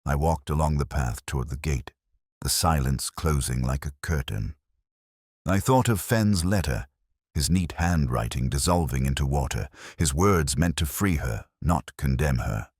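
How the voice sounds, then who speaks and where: A man with an extremely low voice narrates a scripted detective story. His delivery is measured and solemn, with a literary turn and a pitch that moves expressively. The sound is close and dry. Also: expressive, a little mellow, slightly rough, no echo.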